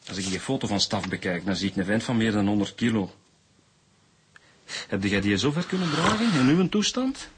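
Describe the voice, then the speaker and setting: An actor playing a measured, sceptical Flemish police detective in a radio drama. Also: male voice, on the low side, animated, noisy background, natural voice.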